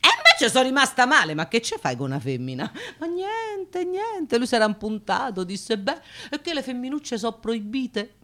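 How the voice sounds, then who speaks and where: An animated, chatty Roman aunt. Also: female voice, fairly high pitch, moderate pace, hardly any pauses. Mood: animated storytelling. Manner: voluble, colloquial, dramatic, exclamatory. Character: chatty working-class aunt, brash, boastful storyteller. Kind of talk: Italian radio drama acting.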